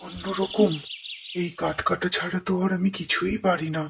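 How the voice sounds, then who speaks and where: A woman playing a poor, worried old male woodcutter in a cartoon, speaking in a slow, fretful, plaintive way. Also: fairly low pitch, little pitch movement, creaky, husky, heavily acted. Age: older. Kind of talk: cartoon moral-story dialogue. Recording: noisy track with birdsong.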